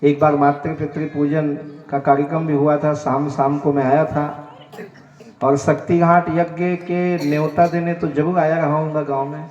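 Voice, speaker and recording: Male preacher, Chhattisgarhi accent, fairly high, long reverb, dull lo-fi sound. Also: flat delivery, normal speed, darkish tone. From Chhattisgarh, India. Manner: earnest, direct appeals to the crowd. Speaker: religious preacher. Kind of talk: sermon to a village gathering. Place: village public gathering. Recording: echoey, distant, dull sound.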